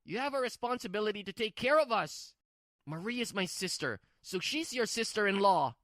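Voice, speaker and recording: A woman's voice: mid-range voice, lively intonation, moderate pace, fairly bright tone, no echo, clean studio sound, pitched above the performer's own voice, lightly acted. Woman acting a swooping, whiny, selfish husband. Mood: indignant. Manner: demanding, pleading. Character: selfish, whining adult husband, entitled and panicky.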